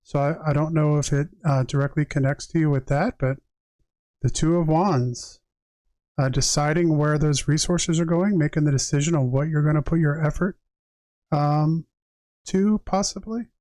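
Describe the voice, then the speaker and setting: Guy doing tarot readings on stream, voice a bit on the high side, dry close sound, chatty n reflective. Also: fairly even intonation, normal speed, darkish tone, no room sound, low-quality recording. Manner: conversational, reflective, addresses viewers directly. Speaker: tarot reader and live streamer. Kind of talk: tarot reading on a livestream, mixing reading aloud with casual chat.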